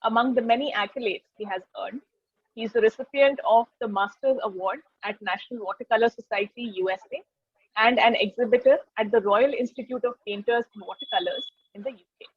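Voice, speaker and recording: High-voiced, husky Indian woman host. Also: fairly quick, no room sound, highs cut off. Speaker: interview host. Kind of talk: conversational interview hosting with scripted introduction.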